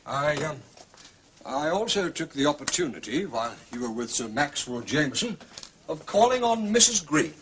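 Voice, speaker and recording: An actor playing a discreet, deferential English manservant who speaks formally and respectfully, with polite hesitations and a quiet shrewdness. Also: male voice, on the high side, sing-song, moderate pace, slight background noise. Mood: dutiful reporting. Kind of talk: period radio drama acting.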